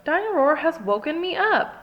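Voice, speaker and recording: A woman voicing an eager, chatty little girl pig in a children's storybook, with bright exclamations. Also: high voice, big pitch swings, moderate pace, highs cut off, pitched above the performer's own voice. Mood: complaining. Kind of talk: children's storybook read-aloud.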